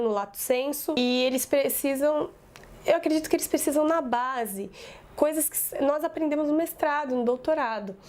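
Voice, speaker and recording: Woman, thirties, rambling storyteller, slightly high, mellow voice, home office. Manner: rambling, self-correcting, conversational. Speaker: communications business owner and professor. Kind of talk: casual personal storytelling to camera.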